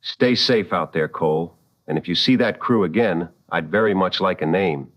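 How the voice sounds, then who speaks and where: A man playing a serious, probing late-night radio host in a radio drama, speaking in deliberate, measured dramatic phrases. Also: sits low, highs cut off. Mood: calm, probing.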